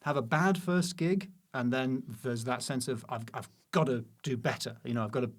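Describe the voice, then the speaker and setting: A man with a British accent talks as a guest on a comedy podcast. He has a mid-pitched voice and a wry, self-deprecating way of putting things. His delivery is conversational and a little hesitant, as in a casual interview. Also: moderate pace.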